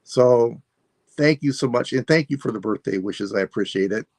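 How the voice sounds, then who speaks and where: A man of sixty-five, hosting a live sale and signing off in a relaxed, rambling way while losing his voice. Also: mid-range voice, normal speed, gravelly, background noise. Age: 60s. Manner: relaxed, rambling, self-deprecating. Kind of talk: casual live stream sign-off.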